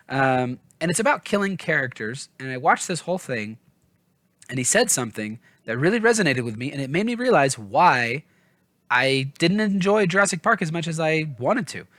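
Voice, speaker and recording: A man's voice: mid-range voice, sharp tone, no echo. A man chatting informally to viewers about a book, rambling and self-deprecating as he works out his thoughts. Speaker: book review vlogger.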